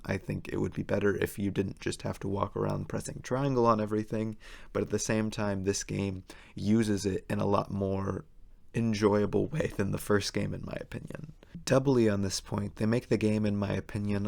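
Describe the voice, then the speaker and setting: Man, hoarse, fried gravelly tone, lowish voice, opinionated video essay host. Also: darkish tone. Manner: casual, opinionated reviewer voice. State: hoarse, losing his voice.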